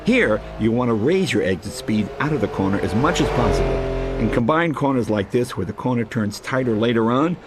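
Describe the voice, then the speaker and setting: A man's voice: mid-range voice, lively intonation, brisk, over music. A man reading scripted instructional narration for a racing video game tutorial, in an explanatory manner. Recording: engine and race car noise throughout. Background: loud race car engines.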